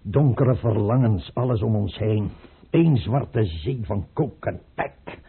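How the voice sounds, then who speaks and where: An actor playing a tormented, suspicious, hard-drinking tsar in an old radio drama, theatrical and given to outbursts. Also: male voice, fairly low pitch, animated, slow, vocal fry, a little hiss or hum, full character voice. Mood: brooding, emotional. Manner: theatrical, brooding, swinging into outbursts. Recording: muffled, narrow-band old radio recording.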